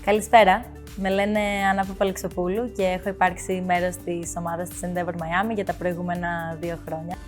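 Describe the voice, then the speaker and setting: Woman, even flat delivery, slow and earnest, light music behind. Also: on the low side. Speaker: team member. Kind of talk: scripted video introduction.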